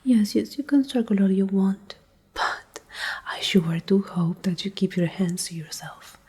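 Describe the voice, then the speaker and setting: A domineering female Alpha, acted out by a woman speaks in a very breathy whisper for a dark ASMR roleplay. She is slow and deliberate, with a mellow tone and cold, condescending menace. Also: medium pitch. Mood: threatening, controlling. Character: domineering, menacing female pack Alpha, coldly possessive captor.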